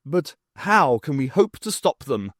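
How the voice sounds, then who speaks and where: A man's voice: fairly high pitch, sing-song, a little slow, dry recording, studio quality. Man playing fretful, uneasy pantomime prince. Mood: anxious. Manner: fretful, muttering. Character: anxious, uncomfortable young pantomime prince.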